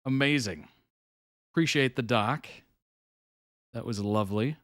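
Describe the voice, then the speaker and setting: A man hosting a reaction video, giving relaxed, chuckling commentary in short approving remarks. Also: mid-range voice, a little slow, no echo, studio quality.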